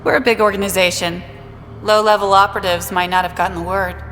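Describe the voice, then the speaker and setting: A woman's voice: medium pitch, fairly even intonation, quick pace, fairly bright tone, in a large hall, faint music behind, close to an everyday voice. A woman playing a tough, curt guard of an outlaw gang in a video game, clipped and commanding. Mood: cool, guarded.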